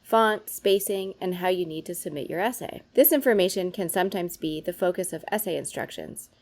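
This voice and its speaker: A woman's voice: on the low side, fairly quick, darkish tone. A woman presenting scripted instructional tips, addressing the viewer in an instructive manner.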